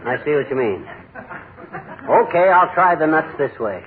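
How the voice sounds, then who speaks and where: A blustering, stubborn husband in an old radio comedy, arguing every point in a slightly high voice and chuckling along the way. The recording is tinny and heavy with hiss. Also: male voice, big pitch swings, normal speed, a loud crowd. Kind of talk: 1940s radio sitcom acting.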